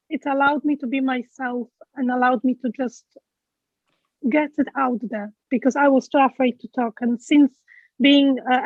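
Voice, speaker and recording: A high-pitched woman with a Polish accent speaks earnestly, pausing often on fillers as she searches for words. Her voice comes through a tinny, phone-like line with a dry, close sound. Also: no echo. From Poland. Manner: earnest, hesitant with many fillers. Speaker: guest speaker. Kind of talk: interview answers.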